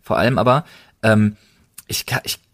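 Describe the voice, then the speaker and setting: A man's voice: lowish voice, moderate pace, fairly bright tone. A young man co-hosting a casual podcast, speaking in slang and repeating words for emphasis. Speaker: podcast co-host.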